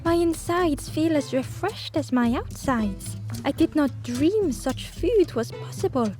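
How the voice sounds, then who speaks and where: A woman's voice: sits high, expressive, a little slow, over music, voice pushed up. A woman voicing a joyous, gluttonous pig with a grandiose, flowery manner in a storybook game.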